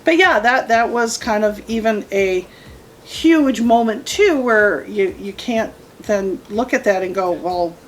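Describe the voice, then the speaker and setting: A middle-aged woman casually telling a personal story, animated and emphatic, often repeating her phrases. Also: medium pitch, measured pace. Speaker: storytelling guest, mother of the host.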